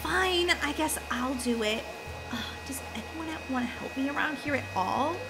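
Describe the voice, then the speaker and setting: A woman's voice: sits high, expressive, moderate pace, vocal fry, over loud music. A woman voicing an overworked, exasperated mother in a children's game roleplay, with an exaggerated, flustered delivery. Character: overworked, exasperated, long-suffering mother of a family.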